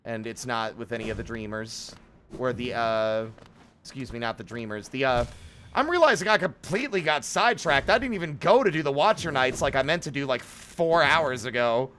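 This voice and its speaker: A man's voice: medium pitch, animated, moderate pace, pushed and bright, a little hiss or hum. A man giving casual live game commentary as a streamer, musing and sometimes sweary.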